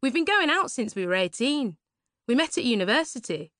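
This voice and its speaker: A woman's voice: fairly high pitch, very animated, fairly quick, a bit bright, no echo, voice pushed up. A woman reading a story, voicing a guarded thirty-six-year-old woman who answers in a dry, understated way.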